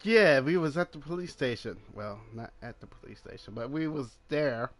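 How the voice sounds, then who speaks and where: A man's voice: mid-range voice, animated, normal speed, a bit bright, faint music behind. A man giving casual, joking commentary while he plays a game. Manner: joking, informal. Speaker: gaming streamer.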